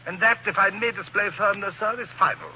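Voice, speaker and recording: Here is a fussy, stubborn valet in an old radio drama, prim and very formal. His voice sits high and bright. The recording is dull and lo-fi. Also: steady tone, fairly quick, husky, exaggerated voice. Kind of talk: 1940s radio acting.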